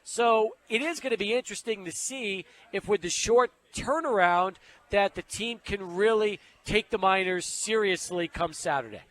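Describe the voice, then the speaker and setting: A man's voice: high voice, normal speed, a bit bright. A man hosting a sports radio show, chatty and conversational, building up to his questions with long run-on lead-ins. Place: radio studio.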